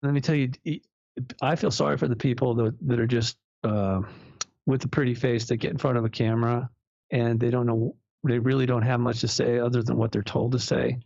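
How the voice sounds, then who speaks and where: A man in his sixties from Los Angeles, a guest on a radio show, talking in an animated way with frequent restarts and laughs. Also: mid-range voice, a little fast, creaky, no echo, highs cut off. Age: 60s. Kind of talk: casual radio interview.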